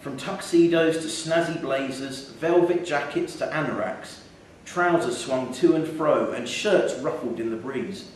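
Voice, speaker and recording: A man's voice: mid-range voice, a little slow, hardly any pauses. Very echoey man reading a short story. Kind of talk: live literary story reading. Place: theatre venue, indoors. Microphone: distant from speaker. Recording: very echoey, distant mic, faint noise.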